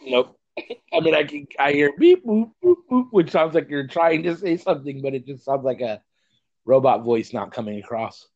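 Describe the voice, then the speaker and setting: Male co-host, mid-pitched, conversational film-podcast style, slightly muffled remote-call recording. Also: fairly even intonation, moderate pace. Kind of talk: casual movie review chat.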